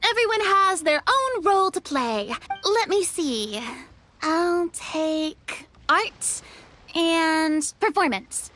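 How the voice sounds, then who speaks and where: High, expressive young adventurer. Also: female voice, slow pace, soft background music, exaggerated voice. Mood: thoughtful. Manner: expressive, conversational. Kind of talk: video game character acting.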